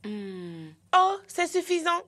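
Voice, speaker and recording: A woman's voice: very high-pitched, big pitch swings, takes it slowly, sharp tone, exaggerated voice. A woman voicing a greedy, carefree and boastful young character in a children's cartoon, cheeky and breezy. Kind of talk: children's educational cartoon dubbing.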